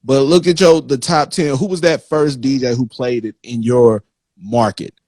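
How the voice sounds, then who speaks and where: A man's voice: medium pitch, steady tone, moderate pace, a little mellow, dry recording, studio quality. A man hosting a casual live-stream interview, speaking informally with slang and thinking aloud in short repeated phrases. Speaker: interviewer, show host.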